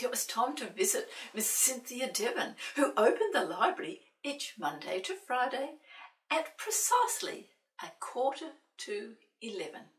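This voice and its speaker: A woman reading a children's picture book aloud in an expressive way, with playful, dramatic asides to young listeners. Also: on the high side. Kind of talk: expressive children's storybook narration. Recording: roomy, slightly distant microphone.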